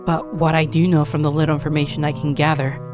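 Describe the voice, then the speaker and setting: A woman's voice: sits low, flat delivery, fast, music in the background, lightly acted. A woman playing an anxious young grandchild who earnestly and dramatically narrates a horror tale. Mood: uneasy narration. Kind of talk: first-person scripted horror narration with acted dialogue.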